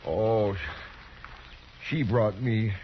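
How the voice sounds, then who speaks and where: An actor playing a timid, frightened young man in a 1950s western radio drama, halting and pleading as he speaks. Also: fairly low pitch. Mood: meek relief. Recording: muffled old radio recording, faint hiss.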